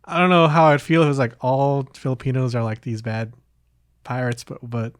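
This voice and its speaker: A man's voice: medium pitch, flat delivery, brisk, clear, resonant tone, no echo, clean studio sound. A man hosting a podcast in casual conversation, hesitant and meandering, often trailing off between thoughts. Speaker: podcast host. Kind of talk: casual chat.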